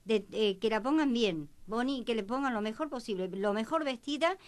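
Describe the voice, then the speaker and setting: A woman's voice: a little high, lively intonation, normal speed. An Argentine woman in a conversational discussion, insistent and repeating her phrases for emphasis.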